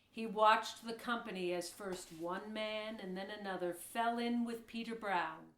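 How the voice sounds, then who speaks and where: Slow-paced woman narrator in an echoey room. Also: medium pitch, a bit bright. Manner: measured, formal storytelling. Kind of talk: scripted historical drama narration. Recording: roomy, echoey voice recording.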